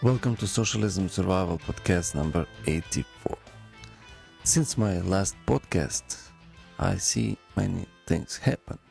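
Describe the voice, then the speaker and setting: A man with a Ukrainian accent hosting a solo podcast, speaking in a deliberate, halting way with pauses. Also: low-pitched, gravelly, darkish tone, faint music behind. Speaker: podcast host.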